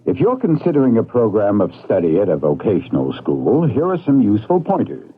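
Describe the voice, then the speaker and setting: A man's voice: fairly low pitch, husky. A man giving a scripted advisory public service announcement on radio. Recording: narrow, tinny old radio broadcast.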